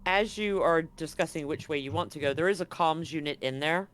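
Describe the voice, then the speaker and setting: A man's voice: on the high side, fairly quick, a bit bright, slight background noise. A man running a tabletop role-playing game as game master, narrating scenes and options in a casual, conversational way.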